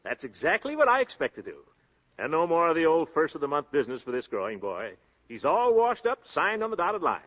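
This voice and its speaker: An actor playing a boastful, breezy American husband, a glib, slangy schemer in a 1930s radio comedy-drama. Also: male voice, fairly high pitch, wildly swooping pitch, a little fast, fairly bright tone, full character voice. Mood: breezy, cocky. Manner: glib, pompous, peppered with slang and nicknames. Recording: dull lo-fi old radio recording.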